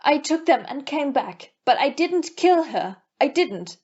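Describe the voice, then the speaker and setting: Woman playing a nervous guilty lady, high voice, polite and hesitant, audio play, dull sound. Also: animated, unhurried, a little mellow. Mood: confessing. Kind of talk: amateur audio play acting.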